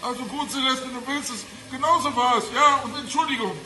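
A man's voice: very high-pitched, fairly quick, sharp tone, heavily acted. An actor playing a trapped, desperate and sarcastic male captive in a radio crime drama, agitated and mocking. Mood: exasperated. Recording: echoey room with faint noise.

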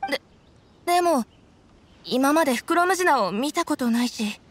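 A woman's voice: high-pitched, expressive, moderate pace, a little hiss or hum. A woman voicing an earnest, trusting young village boy in a video game, speaking eagerly. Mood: unsure, trusting.

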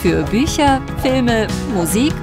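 A woman's voice: a little high, sing-song, takes it slowly, loud music behind the voice. A woman reading a scripted advertising voice-over as announcer.

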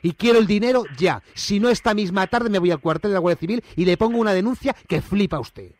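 A man's voice: sits high, fast, darkish tone, a little hiss or hum, voice pushed up, natural voice. A man with a Spanish accent from Spain playing an indignant, bullying company manager on a prank phone call, speaking in formal, hesitant phrases or blunt, coarse threats. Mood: angry, threatening.

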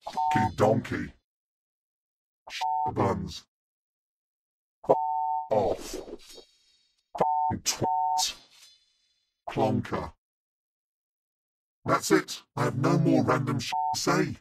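A man's voice: mid-range voice, sing-song, very slow, pauses often, slightly rough, heavily acted. A man voicing an angry, foul-mouthed celebrity chef in a mobile game, barking short insults and orders through a demonic voice effect. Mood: exasperated, insulting. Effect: demonic voice filter. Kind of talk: mobile game character voice lines.

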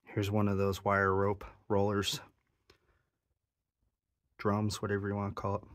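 A man's voice: on the low side, completely flat delivery, a little slow. A man narrating his own exploration of a cramped abandoned mine, enthusiastic and explaining what he sees as he goes.

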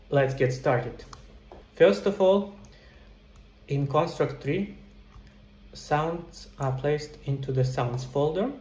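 A middle-aged man talks viewers through a casual screen tutorial in an explanatory way. His voice has an average pitch. The recording is roomy and echoey, with some noise behind him. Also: a little mellow. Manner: explanatory, addresses viewers directly. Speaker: video tutorial presenter, indie game developer. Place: indoor room.

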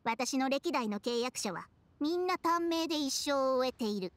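An actress playing a blunt, worldly-wise old snake spirit in dramatic game dialogue. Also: female voice, high voice, expressive, normal speed, slight background noise. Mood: dry, solemn.

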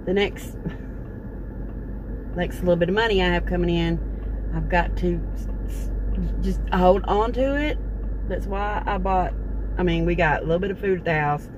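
A woman's voice: on the low side, normal speed, pauses often. A middle-aged woman, chatting casually and rambling with lots of fillers to her phone camera in a parked car. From Texas. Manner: chatty, meandering, folksy with many fillers. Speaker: vlogger. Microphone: phone camera at close range. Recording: car interior with noticeable background noise.

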